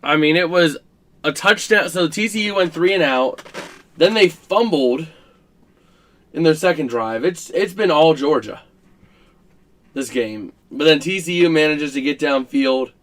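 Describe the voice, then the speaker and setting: A man hosting a casual live stream about a college football game, his voice scratchy and rough, chatting to viewers with plenty of fillers. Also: fairly high pitch, expressive, measured pace, pauses often, fairly bright tone. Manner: chatty, filler-heavy, talks to chat while working the stream.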